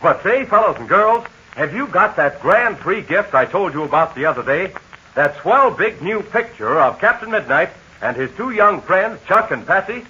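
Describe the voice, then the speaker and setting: Old 1940s radio man selling stuff to kids, bright lively voice, breathy, muffled old transcription. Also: normal speed. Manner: peppy, folksy pitch to kids. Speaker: radio announcer.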